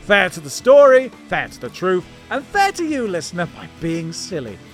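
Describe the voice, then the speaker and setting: A man's voice: sits high, sing-song, moderate pace, soft background music. A British man co-hosting a silly history comedy podcast, with playful, mock-grand scripted narration.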